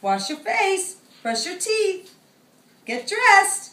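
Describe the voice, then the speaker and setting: A high, bossy but loving mother hen out of a picture book, acted out by a woman. Her orders are drawn out and sing-song, and they ring in a roomy space. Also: sing-song, very slow, a bit bright, exaggerated voice. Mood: bossy, brisk. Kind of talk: read-aloud picture book character. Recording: roomy, far from the mic.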